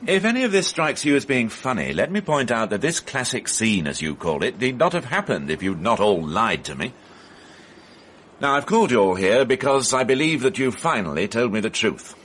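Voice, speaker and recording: An actor playing a formal, persistent police inspector in a radio drama, speaking precisely and probingly. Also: male voice, lowish voice, sing-song, moderate pace, fairly bright tone. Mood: stern, formal. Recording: faintly noisy archive radio broadcast.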